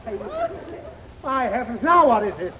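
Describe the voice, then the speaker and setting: Frazzled dad losing his patience, high voice that jumps around, old hissy radio sound. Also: huge pitch swings, normal speed, a loud crowd, heavily acted. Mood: exasperated. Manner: flustered, theatrically exasperated, sputtering. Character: exasperated father doing his taxes. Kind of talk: 1930s radio comedy acting. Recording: dull 1930s radio broadcast with hiss and studio audience.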